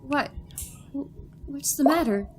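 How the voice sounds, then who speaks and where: A woman's voice: sits high, slow pace, slight background noise. An actress playing an anxious young newlywed woman held captive in an old-time radio thriller. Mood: concerned.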